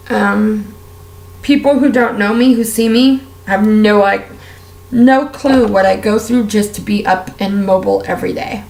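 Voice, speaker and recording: A woman with a raspy, strained voice, talking candidly and at length while doing her makeup for a vlog. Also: medium pitch, echoey room, rough recording. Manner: candid, heartfelt, rambling with fillers. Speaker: beauty vlogger. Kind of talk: casual personal rant while doing makeup.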